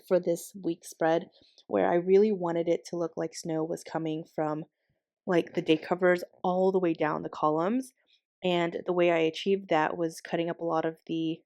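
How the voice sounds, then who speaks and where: A young woman hosting her planner channel in a casual voiceover, slightly hoarse from losing her voice, chatty and rambling with many ums and likes, recorded in her bedroom. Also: on the low side, a little mellow, no echo, studio quality.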